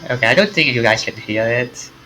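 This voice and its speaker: A man streaming a game, reading its story text aloud in an animated way. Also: mid-range voice, brisk, soft background music, low-quality recording. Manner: animated, acts out the lines.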